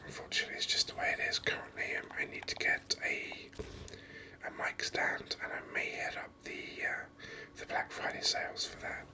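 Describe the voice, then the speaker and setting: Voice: moderate pace, low-quality recording. A gaming host whispering ASMR commentary very close to the microphone in a rambling, hesitant way. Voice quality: breathy unvoiced whisper. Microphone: microphone very close to the mouth. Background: voice-over on game screen capture.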